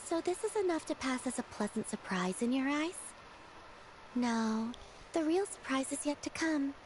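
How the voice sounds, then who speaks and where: A gentle female moon spirit from an anime game. Her voice is fairly high, and she speaks with formal, poetic grace and serenity, over faint ambience. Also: lively intonation, normal speed, slight background noise, poor audio. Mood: serene. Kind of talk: anime video game voice acting.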